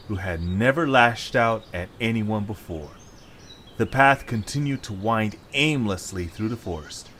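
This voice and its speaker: Lower-pitched male story narrator. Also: a bit bright, slight background noise.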